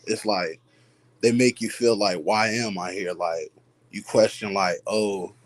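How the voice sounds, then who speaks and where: A man's voice: fairly low pitch, fairly even intonation, unhurried, poor audio. A young adult man, a student guest, describing his experience hesitantly with many fillers. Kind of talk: casual chat.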